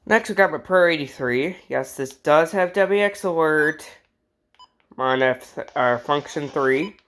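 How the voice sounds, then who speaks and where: An American hobbyist vlogger with a mid-pitched voice talks casually and off the cuff. The delivery rambles and drifts between side remarks, with a joking streak. It sounds like an unscripted home video made in a bedroom. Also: unhurried, fairly bright tone. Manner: rambling, jokey, easily sidetracked. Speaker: hobbyist vlogger showing a radio collection. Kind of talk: casual unscripted vlog chat. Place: indoors in a bedroom.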